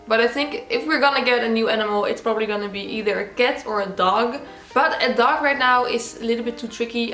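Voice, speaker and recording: A woman's voice: a little high, a bit bright, reverberant. A young woman from the Netherlands with a Dutch accent, chatting casually and giggling as she answers viewer questions. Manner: chatty, giggly, many "like" fillers. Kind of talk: casual Q&A vlog.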